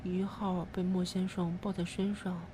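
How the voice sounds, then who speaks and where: A woman's voice: on the low side, little pitch movement, moderate pace, background noise, lightly acted. A woman voicing a shy, lovestruck young tomato in an audio drama, with a bashful, gushing manner. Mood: wistful. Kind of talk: Chinese audio drama acting. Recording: music behind the voice.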